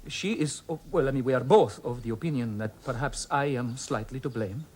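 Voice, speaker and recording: A man's voice: medium pitch, brisk, a little mellow, husky, natural voice. A man playing a genial, evasive middle-aged businessman who talks in a placating, rambling way, tagging "eh?" onto his lines, in a radio drama. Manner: placating, rambling, with frequent "eh?" tags.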